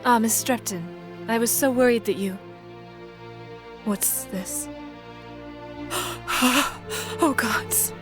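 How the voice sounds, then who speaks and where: A woman acts a distraught noble mother in a fantasy audio play. Her voice is high and drawn out very slowly, fraught with emotion, with clear music behind it. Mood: anxious, dread. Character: anxious, grieving mother, a noble shopkeeper lady. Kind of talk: audio drama acting.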